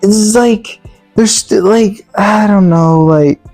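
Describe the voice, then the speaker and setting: A man's voice: sits high, takes it slowly, darkish tone, no room sound, faint music behind. A man giving a casual, opinionated review rant in an exasperated, emphatic way, often repeating rhetorical questions. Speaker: movie reviewer.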